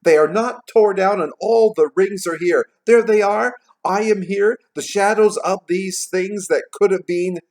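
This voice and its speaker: A storyteller voicing an overjoyed, excitable old gentleman who gushes and whoops in a storybook reading. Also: mid-range voice, normal speed, voice pushed up. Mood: overjoyed, giddy. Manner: exclamatory, whooping, gushing.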